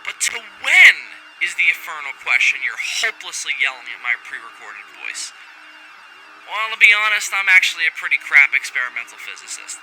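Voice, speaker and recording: A man's voice: on the high side, pushed and bright, heavily acted, rough recording. A man playing a foul-mouthed, rebellious scientist in a sci-fi audio drama, delivering a rambling, wry and theatrical recorded log that keeps interrupting itself. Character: brash, foul-mouthed rogue male scientist, giddy and rebellious. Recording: faint background alarm and machinery noise.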